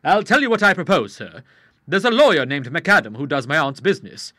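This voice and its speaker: A man's voice: on the high side, sing-song, brisk, sharp tone, no echo, clean studio sound, voice pushed up, full character voice. A man voicing an earnest, plain-spoken young man who is suspicious, in an audiobook. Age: young adult.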